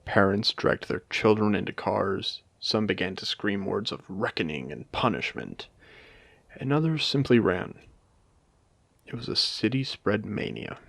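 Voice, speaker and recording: A man playing a wry, courteous AI that was once human, speaking formally and deliberately in a dramatic audio roleplay. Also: lowish voice, expressive, vocal fry, fairly bright tone, dry recording, exaggerated voice. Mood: grave, reminiscing. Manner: articulate, theatrical, deliberate, formal wording. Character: wry, courteous male AI, once human, haunting a ruined casino. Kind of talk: dramatic audio roleplay monologue addressed to a listener.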